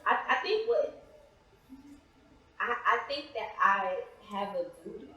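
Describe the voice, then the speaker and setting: A woman's voice: medium pitch, very animated, a little slow, bright, forceful tone, highs cut off. An American woman answering interview questions conversationally, in a reflective, earnest way. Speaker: interview guest, playwright and author. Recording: very echoey, reverberant room.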